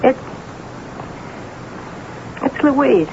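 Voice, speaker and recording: An actress playing a cool, scheming, domineering mistress in a radio mystery drama, speaking deliberately and insistently. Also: female voice, high voice, expressive, creaky, full character voice. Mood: dismissive, insistent. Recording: noisy, muffled old radio broadcast recording.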